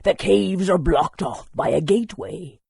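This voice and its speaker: An actor playing a courteous, bookish town historian in a fantasy game, speaking politely and in a measured, scholarly way. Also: male voice, high voice, vocal fry, darkish tone, breathy edge, dry recording, clean studio sound, exaggerated voice. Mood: helpful, cautionary.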